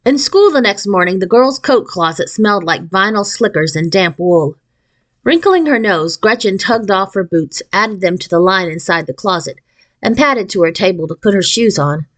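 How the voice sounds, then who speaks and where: A woman reading a children's novel aloud as a storyteller, in an expressive scripted narration style. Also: mid-range voice, normal speed.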